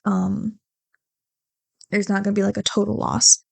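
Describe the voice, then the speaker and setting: Female creator, off-the-cuff and rambling, slightly gravelly with fry, unhurried, self-mocking, casual swearing, close dry mic. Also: medium pitch, level tone, a little mellow, no room sound. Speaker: ASMR roleplay audio creator. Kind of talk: casual rambling chat to listeners.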